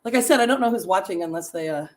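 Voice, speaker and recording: Raspy-voiced woman hosting a chatty live stream. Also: medium pitch, lively intonation, racing. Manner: chatty, laughs often, reads facts and stories to viewers. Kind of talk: casual chatty live stream, reading trivia aloud.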